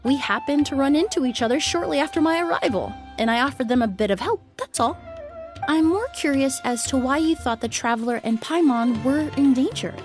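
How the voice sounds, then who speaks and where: Game role: young female perfumer, composed, modest, courteous and explanatory, fairly high voice over faint music. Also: lively intonation, brisk. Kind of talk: video game voice acting.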